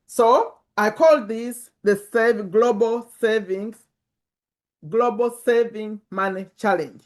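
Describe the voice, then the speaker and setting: A woman's voice: fairly high pitch, slow pace, darkish tone. A woman in her fifties with a Ugandan accent, giving an informal motivational talk and repeating words for emphasis. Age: 50s. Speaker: personal finance YouTuber and community host. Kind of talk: informal motivational talk to camera and online group.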